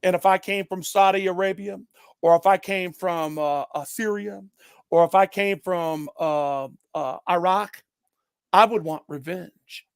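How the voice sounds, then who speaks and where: A man's voice: high-pitched, unhurried, clear, resonant tone, no room sound, clean studio sound. A man talking politics in casual chat, blunt and emphatic, swearing freely.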